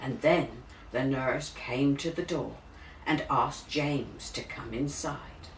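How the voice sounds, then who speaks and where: A woman narrating a children's story expressively, with dramatic, deliberate, drawn-out emphasis. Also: extremely low pitch. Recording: roomy, distant mic, faint hiss.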